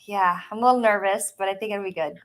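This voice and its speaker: A woman's voice: mid-range voice, fairly even intonation, fast talker, rough recording. A woman co-hosting a casual reseller chat, bubbly and gushing, laughing often. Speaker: co-host of a reseller chat show.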